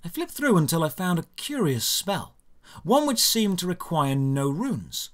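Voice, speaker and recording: A man with a slightly higher-pitched voice narrates a fantasy audio drama in the first person. His delivery is deliberate and dramatic, with lively, varied intonation that rises and falls through the scripted prose.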